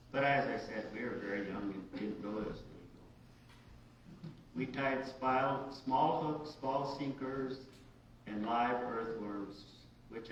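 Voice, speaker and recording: A man from the United States, a writer reading his own short story aloud in a halting, informal way. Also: mid-range voice. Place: large echoey indoor room at a live reading. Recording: very echoey room, distant dull mic, hiss.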